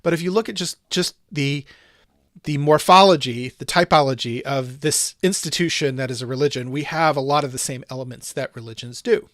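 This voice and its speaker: A man's voice: mid-range voice, brisk, fairly bright tone, dry recording, studio quality. A man hosting a casual discussion podcast, thinking aloud in long, rambling sentences full of restarts and asides. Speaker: podcast host.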